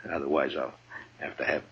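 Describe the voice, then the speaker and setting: An American man acting a gruff, weary, authoritative frontier marshal in an old-time radio western. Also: a bass voice, exaggerated voice. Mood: amused, gentle. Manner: commanding, dry, plain-spoken. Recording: dull vintage radio recording.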